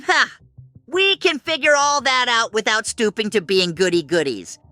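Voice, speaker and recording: A woman's voice: a little high, expressive, moderate pace, pushed and bright, no echo, soft background music. A woman voicing a cocky young male bird gang leader, boastful and sneering, in a comic dub.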